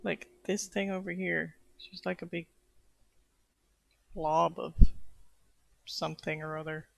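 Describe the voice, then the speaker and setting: A man doing casual live game commentary, calm and wry, asking bemused questions aloud as he plays. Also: fairly high pitch, unhurried, vocal fry, no echo. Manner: even-toned and calm. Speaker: game streamer. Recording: noisy stream with game ambience behind.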